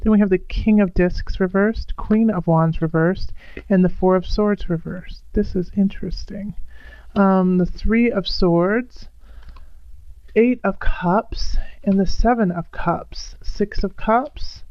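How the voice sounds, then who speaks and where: A woman reading tarot cards, hoarse and raspy from bronchitis, speaking calmly and drawing some phrases out slowly. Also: medium pitch, no room sound, muffled recording. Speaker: tarot reader.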